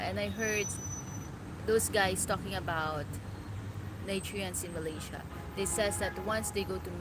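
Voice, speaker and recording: A woman from the Philippines with a Filipino accent talks casually to her followers, halting and often checking in with an "okay". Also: mid-range voice. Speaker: vlogger. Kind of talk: casual opinion talk to followers. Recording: loud background noise, vehicle and outdoor ambience.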